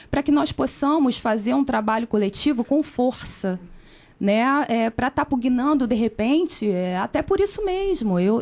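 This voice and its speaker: Brazilian woman lawyer, slightly high voice. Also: a little mellow. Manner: measured, formal. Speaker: lawyer and candidate. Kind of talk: radio interview.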